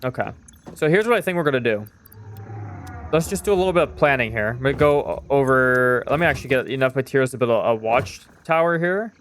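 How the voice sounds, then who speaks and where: A man's voice: mid-range voice, lively intonation, fast talker, a bit bright, a little hiss or hum. A young man streaming a game and chatting with viewers in a loose, rambling way, full of fillers and casual swearing. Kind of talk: casual live-stream chat while gaming.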